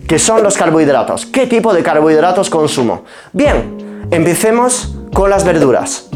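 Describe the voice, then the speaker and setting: A man's voice: fairly high pitch, a little mellow. A man from France with a French accent in his Spanish, a pharmacist explaining his diet to camera, asking and answering his own questions. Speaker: pharmacist and nutrition YouTuber. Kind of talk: explanatory nutrition talk to camera.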